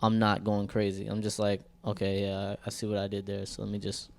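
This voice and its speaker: A man's voice: fairly low pitch, monotone, brisk, creaky. A young man co-hosting a podcast, telling stories in loose, profane slang. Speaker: podcast co-host. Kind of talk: casual chat.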